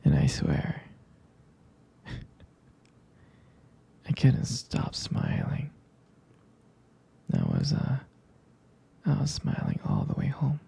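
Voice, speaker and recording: A man playing a tender, smitten boyfriend in an intimate ASMR roleplay, speaking softly and haltingly in a breathy near-whisper. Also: medium pitch, huge pitch swings, heavily acted. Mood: fond, devoted. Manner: hesitant, halting, gentle. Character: tender, smitten boyfriend reminiscing about a first date.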